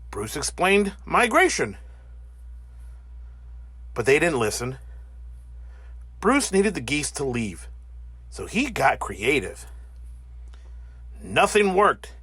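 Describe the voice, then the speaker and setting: Very animated, slow-paced male storybook narrator. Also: a little high, slightly rough, dry recording. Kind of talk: animated children's read-aloud.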